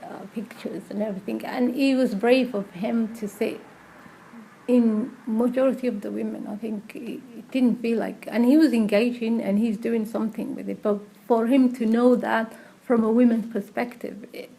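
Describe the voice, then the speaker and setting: A woman's voice: on the high side, fairly even intonation, moderate pace, creaky, warm tone, poor audio. A woman who works as a community health practitioner, talking conversationally in an interview.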